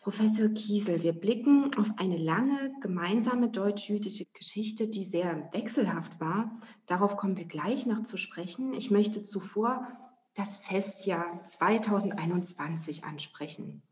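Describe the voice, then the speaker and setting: A woman's voice: mid-range voice, darkish tone, a little hiss or hum. German woman co-hosting a talk podcast. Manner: measured, reads guest introduction. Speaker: podcast co-host. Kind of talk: scripted podcast moderation.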